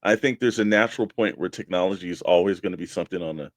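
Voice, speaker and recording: A man's voice: low voice, fast, dry recording. Casual male talk show co-host, dry studio sound. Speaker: co-host of a toy collecting show. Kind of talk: casual opinion chat.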